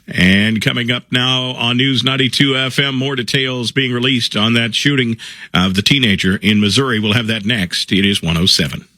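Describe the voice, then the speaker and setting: A man reading radio news headlines as the station anchor, in a steady announcer delivery. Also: mid-range voice, moderate pace, no room sound.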